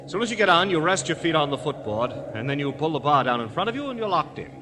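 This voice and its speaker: An actor playing a polite, talkative, affable but slightly evasive gentleman in a 1940s radio drama, given to careful explanation. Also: on the high side, big pitch swings, fast talker. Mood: affable, reassuring. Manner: polite, explanatory, hesitant when questioned. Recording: noisy vintage radio recording.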